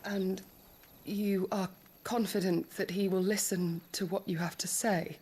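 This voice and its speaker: An actress playing a quiet, loyal female attendant to a noble, speaking softly and respectfully in hushed tones. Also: mid-range voice, steady tone, measured pace, breathy edge. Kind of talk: game cutscene acting.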